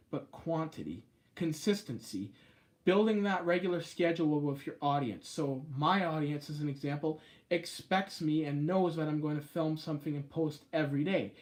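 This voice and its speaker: A man hosting a casual live stream on a phone, chatting and answering viewer comments in a rambling way, with sudden jumps up in pitch on stressed words. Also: a little mellow. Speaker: YouTube live-stream host.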